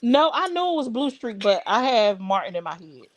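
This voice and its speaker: A woman's voice: fairly high pitch, sing-song, normal speed, a little hiss or hum. A woman hosting a casual livestream, talking bluntly and straight to her viewers. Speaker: livestream host. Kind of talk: casual live chat.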